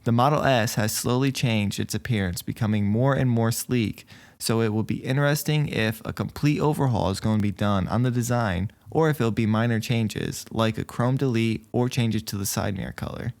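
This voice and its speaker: A man hosting an online video, giving scripted news commentary in a measured, explanatory way with his own opinions mixed in. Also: mid-range voice, darkish tone. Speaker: YouTube host commenting on company and investing news.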